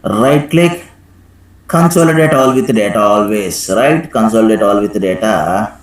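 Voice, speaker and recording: A man teaching a live software tutorial step by step, instructing and often checking in with a questioning "right?". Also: lowish voice, unhurried. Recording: echoey room sound.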